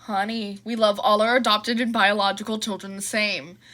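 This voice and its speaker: A woman's voice: medium pitch, flat delivery, moderate pace, pushed and bright, no echo, lightly acted. A woman voicing a doting, playful father who soothes and fawns over the children in an audio fan drama. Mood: fond gushing. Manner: fawning, soothing.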